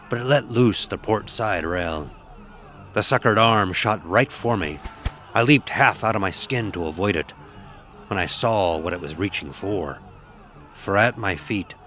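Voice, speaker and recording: Deep male voice, grave old-time sailor character, dramatic horror storytelling, archaic seafaring diction, noisy ambient backdrop. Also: sharp tone. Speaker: storyteller narrator.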